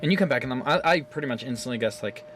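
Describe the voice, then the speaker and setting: A man talks into his phone camera at home in a loose, rambling way, recapping a game's story with plenty of swearing and laughter. His voice sits at an ordinary middle pitch. A faint hum runs underneath. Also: racing. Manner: animated, profane, laughs often. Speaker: gaming video creator.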